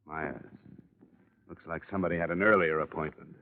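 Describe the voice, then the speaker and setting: A man playing a tough, weary investigator in a 1950 radio drama, clipped and deadpan, on an old recording. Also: extremely low pitch, a little fast, narrow, tinny audio, a little hiss or hum. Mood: sober, pressing. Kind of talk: 1950s radio detective acting.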